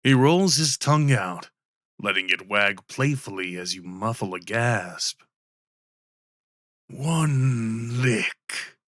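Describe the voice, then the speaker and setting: A man narrating a scripted ASMR roleplay story with measured, deliberate delivery. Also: fairly low pitch, lively intonation, a bit bright, no echo, clean studio sound.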